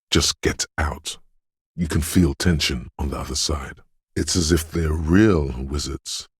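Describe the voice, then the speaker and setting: A man playing a brooding, ominous inner voice of intuition in a video game, with a very deep, husky, gravelly voice and a slow, solemn, cryptic delivery. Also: no echo, studio quality, exaggerated voice. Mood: foreboding. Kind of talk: dramatic game narration.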